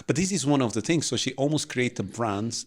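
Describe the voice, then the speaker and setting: A man's voice: medium pitch, animated, brisk. A man co-hosting a podcast in casual chat, agreeing often with brief interjections. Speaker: podcast co-host.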